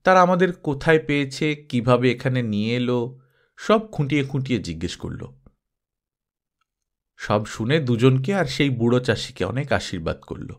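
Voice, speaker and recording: Guy telling a story, audiobook style, expressive reading, medium pitch. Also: normal speed. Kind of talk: expressive scripted story narration.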